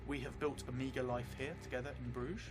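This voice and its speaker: Middle-aged male game streamer, measured first-person storytelling, thoughtful hesitations, mid-range voice, music clearly underneath. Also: medium pitch, fairly even intonation. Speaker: solo role-playing game streamer. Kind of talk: improvised first-person tabletop game narration. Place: small indoor room, seated at a desk. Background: background music.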